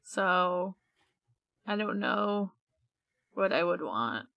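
A woman's voice: medium pitch, lively intonation, extremely slow, dry recording, highs cut off. A woman podcast co-host chatting casually in a hesitant way, often trailing off and swearing offhandedly. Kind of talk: casual chat.

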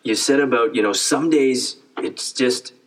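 A man's voice: medium pitch, measured pace, recorded from a distance, rough recording. A middle-aged man from Canada hosting a casual sit-down interview, asking earnest, searching questions and often repeating words as he builds them. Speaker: host.

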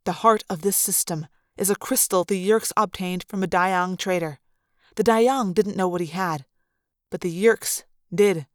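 A woman voicing a calm, gentle ancient male android who speaks softly and earnestly. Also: lowish voice, no echo, clean studio sound, close to an everyday voice. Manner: soft, measured, earnest.

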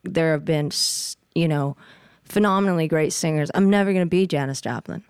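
A woman's voice: sits low, brisk, a little mellow, clean tone, no echo, clean studio sound. A woman singer being interviewed, chatting casually in an earnest, thoughtful way. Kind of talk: casual chat.

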